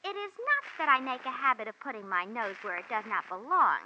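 A brassy, touchy, gossipy maid from 1940s radio comedy-drama. Her voice is high and swoops expressively, putting on genteel airs over slang. The vintage recording is muffled. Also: big pitch swings, a little fast, background noise. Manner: mock-genteel wording mixed with slang. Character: brassy, gossipy, easily offended female personal maid.

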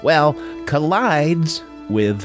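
A man giving a comic promo for his podcast in a halting, chuckling manner. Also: a little high, very animated, music in the background.

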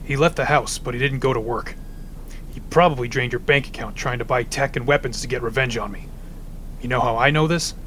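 An actor playing a practical, pun-loving ice supervillain who talks bluntly and dryly in an audio drama. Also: male voice, mid-range voice, brisk, fairly bright tone, slight background noise, close to an everyday voice. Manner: dry, blunt, cracks cold puns.

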